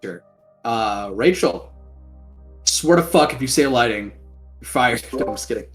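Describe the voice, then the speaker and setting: A man's voice: mid-range voice, animated, a little slow, faint music behind. Joking, swearing male game master. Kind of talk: casual post-game chat.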